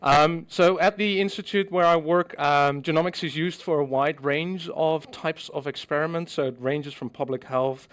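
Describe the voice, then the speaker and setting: A middle-aged man from the Netherlands giving a scientific conference presentation, measured and explanatory with frequent ums. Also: on the high side, steady tone, fairly bright tone, clear, resonant tone.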